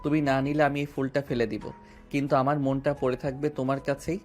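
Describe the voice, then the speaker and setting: A man's voice: mid-range voice, little pitch movement, a little fast, close to an everyday voice. An actor playing a playful, persistent young man who courts a woman with teasing affection. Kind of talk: Bengali audio drama acting. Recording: music underneath.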